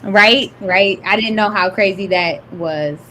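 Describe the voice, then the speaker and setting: A young woman with a high, light voice talks in a relaxed, giggly way, filling her sentences with "like" and "um." A faint hiss runs under the recording. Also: unhurried. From Detroit. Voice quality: high-pitched voice. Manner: laid-back, giggly, lots of "like" and "um". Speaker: author, podcast guest. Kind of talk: casual chat.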